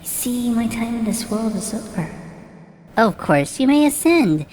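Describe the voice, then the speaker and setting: A woman voicing an earnest, excitable female pony magician with a theatrical manner that moves between hushed and shrieking, in an animated fan drama. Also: on the high side, a little slow. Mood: eager, awkward. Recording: echoey room, long reverb, background hiss.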